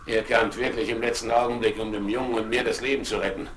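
An actor playing a cunning, defiant pirate ringleader in a blustering, theatrical radio play style. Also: male voice, on the low side, little pitch movement, fast, fairly bright tone, lightly acted. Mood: surprised, grateful. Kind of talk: 1970s German radio play acting. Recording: very noisy old vinyl record rip.

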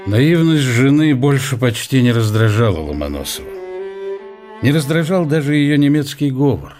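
A man's voice: medium pitch, lively intonation, moderate pace, over music. A man reading reflective scripted narration of a character's thoughts in a historical radio drama.

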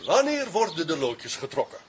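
A pompous professor in a radio comedy. His pitch is on the high side and swings widely, and he phrases everything formally, like someone easily offended. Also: big pitch swings, moderate pace, slight background noise. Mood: haughty. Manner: formal, precise wording, pompous. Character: stiff, easily offended, self-important male professor. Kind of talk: Dutch radio comedy drama acting.

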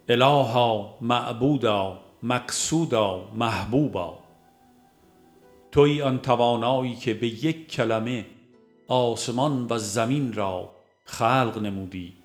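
A man reads a religious book aloud as an audiobook narrator, at a middle pitch. His delivery is formal and measured, set out phrase by phrase. Music plays along with his voice.

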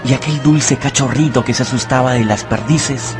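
A man's voice: mid-range voice, normal speed, over music, close to an everyday voice. A man narrating a grim adventure story as a dramatic, scripted radio play. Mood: grave.

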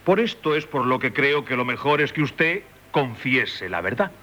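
An actor with a Castilian Spanish accent playing an insistent, formally courteous examining magistrate in 1970s radio theatre. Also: male voice, medium pitch, big pitch swings, normal speed, bright, forceful tone. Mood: probing, courteous. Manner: formal, probing, insinuating.

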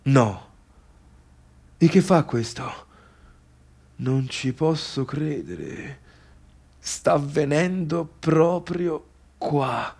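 Sarcastic failed musician, Tuscan accent, slightly high voice, colloquial complaining, bitter theatrical radio monologue. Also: male voice, animated, very slow, gravelly, pauses often, a little mellow, husky, exaggerated voice. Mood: irritated, sarcastic. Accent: Tuscan Italian. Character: bitter, sarcastic male failed pianist turned music critic, grumbling at guests.

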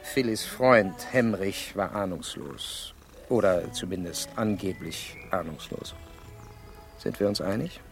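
An actor playing a self-assured, status-conscious industrial executive in a radio play, brisk and formal with a haughty edge. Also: male voice, deep voice, gravelly, faint music behind, heavily acted. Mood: self-assured, defensive.

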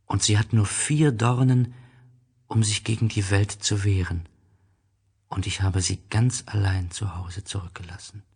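A man voicing a gentle, wistful little boy prince in a storybook, with earnest, questioning delivery. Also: fairly low pitch, steady tone, a little slow, creaky, husky, exaggerated voice. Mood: worried, tender. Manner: earnest, questioning, raised lilting voice. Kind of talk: storybook character voice.

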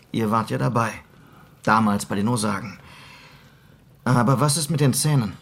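A man's voice: medium pitch, a little slow, gravelly, fairly bright tone. Earnest male western hero. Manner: serious, questioning. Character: earnest, concerned western hero asking probing questions. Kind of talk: German radio play acting.

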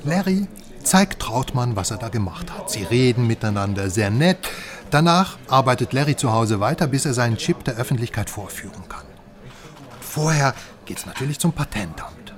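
An actor playing a secretive, mistrustful informant who explains eagerly in a German radio crime drama. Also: male voice, medium pitch, expressive, normal speed, close to an everyday voice. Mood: eager explaining.

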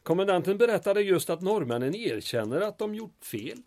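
Man as a small-town mayor, slightly high voice, courteous, dry, ironic, comic wartime audio drama. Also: big pitch swings, a little mellow. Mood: drily ironic. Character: dry, polite, obliging male town mayor. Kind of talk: comedic audio drama acting.

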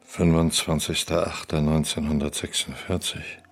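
An actor in a radio drama playing an ageing, bitter former opera star in his eighties, gravelly and breathy, theatrical and declamatory, often muttering to himself. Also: very deep voice, little pitch movement, normal speed, full character voice. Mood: brooding. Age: 80s. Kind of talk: radio play acting.

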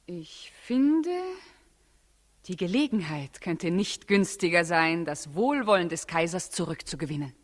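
A woman's voice: on the low side, expressive, unhurried. An actress in a 1960s radio play as a cool, shrewd, manipulative queen, speaking theatrically and with calculation. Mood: sly. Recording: 1960s radio play, long reverberant hall.

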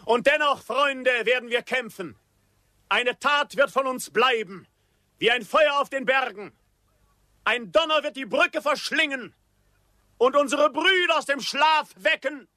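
A man's voice: very high voice, a little slow, bright, forceful tone, no room sound, full character voice. A male actor playing a solemn Apache war leader who rallies his warriors in declamatory German radio drama style. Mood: rousing, defiant.

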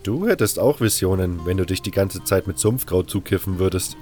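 A man's voice: low voice, a little fast, darkish tone, faint music behind, natural voice. A man playing a curt, skeptical convict adventurer with a blunt, dry, impatient manner in a fantasy audio drama.

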